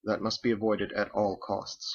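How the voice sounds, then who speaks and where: A man voicing a stern, coldly logical female executioner in a formal, measured, clipped way for a story. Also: fairly low pitch, level tone, husky, muffled recording. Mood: cold, composed.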